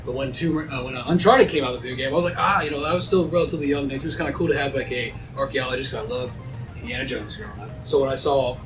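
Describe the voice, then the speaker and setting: A man in relaxed, casual conversation, speaking quickly and acting out little imagined quotes as he goes. He sits some distance from the microphone, and music plays clearly behind him. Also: mid-range voice. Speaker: panelist. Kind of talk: casual chat.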